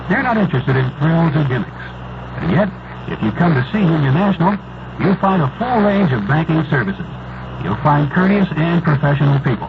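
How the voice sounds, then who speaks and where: A man reading a scripted, nostalgic radio commercial in a measured storytelling manner. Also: a little high, big pitch swings, gravelly. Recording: noisy, muffled 1970s AM radio broadcast.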